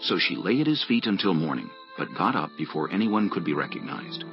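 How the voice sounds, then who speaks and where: Deep, gravelly male Bible narrator. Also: big pitch swings, a little fast, muffled recording, music in the background. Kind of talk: scripted Bible audiobook narration.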